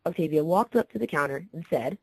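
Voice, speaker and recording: A woman's voice: sits low, lively intonation, fast, vocal fry, darkish tone, slightly rough, no room sound, poor audio. Woman narrating a story, dry sound.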